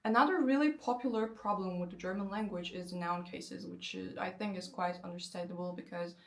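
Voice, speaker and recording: A woman's voice: on the low side, vocal fry. Young Turkish woman explaining grammar. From Turkey. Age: 20s. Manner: lively, conversational, slows down to spell out example sentences. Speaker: language-learning YouTuber. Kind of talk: casual explanatory talk to camera.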